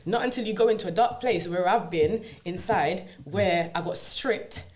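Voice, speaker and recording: A woman from Jamaica speaks as a podcast guest, her voice sitting fairly low. She tells her story earnestly and reflectively on a studio mic, often pausing to ask whether she is understood. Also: a little mellow. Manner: earnest and reflective, often asks "you know what I mean". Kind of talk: personal life story in a casual interview.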